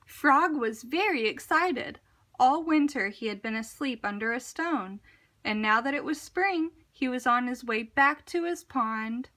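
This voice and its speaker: A woman reading a children's picture book aloud in an expressive, deliberate, dramatic narration style. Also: sits high. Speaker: storybook reader.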